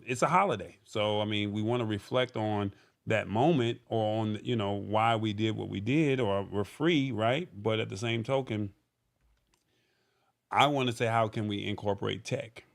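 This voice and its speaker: A man's voice: fairly low pitch, creaky. A man hosting a live show in casual talk, conversational and thinking aloud as he goes. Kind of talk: casual livestream talk, reading viewer comments.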